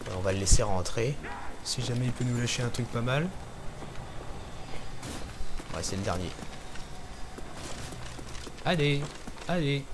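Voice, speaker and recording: A young man hosting a gaming channel, talking through a zombie game in casual commentary, hesitant with lots of pauses and fillers. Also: medium pitch, expressive, background noise. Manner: hesitant, many pauses and fillers, talks while playing.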